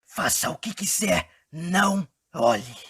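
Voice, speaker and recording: A woman voicing a furtive young male theatre stagehand in a hushed, breathy whisper for an animated story. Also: fairly low pitch, slow pace, dry recording, clean studio sound, voice pushed down, full character voice. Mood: secretive warning.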